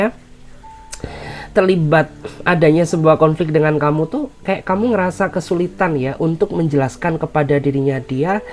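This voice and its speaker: Indonesian lady reading tarot, low voice, kinda nasal from a cold, says ya a lot. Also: a little mellow. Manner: soothing, repeats herself, many filler "ya". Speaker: tarot reader.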